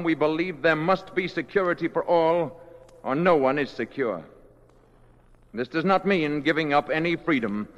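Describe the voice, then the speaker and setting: A man acting a calm, solemn alien emissary in human form in an old radio drama, speaking formally and with measured words. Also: a little high. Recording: dull vintage radio recording, hall reverb.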